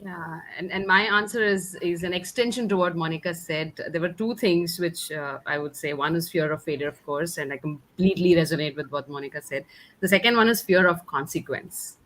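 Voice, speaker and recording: A woman with a slightly low voice gives her view in a panel discussion. She speaks conversationally and emphatically, restating phrases to land each point. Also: brisk, fairly bright tone, poor audio.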